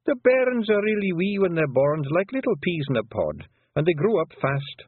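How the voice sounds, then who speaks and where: A man's voice: sits high, big pitch swings, moderate pace, husky, no echo, pitched above the performer's own voice, heavily acted. A man voicing a tiny, dying old fairy clan mother with a homely Scottish brogue, fond and wry. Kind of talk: audiobook character voice. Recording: muffled phone-like dry recording.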